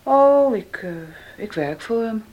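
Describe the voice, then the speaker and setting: A woman's voice: fairly high pitch, lively intonation, takes it slowly, darkish tone, muffled recording. An actress playing a defiant young working woman in a radio play, speaking in a tense, evasive and curt way. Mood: cool, curt. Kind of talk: Dutch radio play acting.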